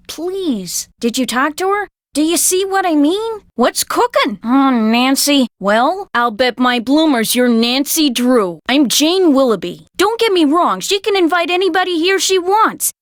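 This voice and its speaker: A woman's voice: sits high, no room sound, clean studio sound. An actress playing a brassy, good-hearted, talkative 1930s American woman full of folksy slang in a video game. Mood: brisk, friendly. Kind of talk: scripted video game dialogue acting.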